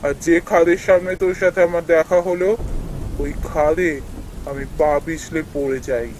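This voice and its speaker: A man's voice: fairly high pitch, slight background noise, lightly acted, poor audio. A man playing the sorrowful ghost of an office colleague in a cartoon story, speaking in a familiar, cautioning, brotherly way. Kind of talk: dramatic cartoon voice acting.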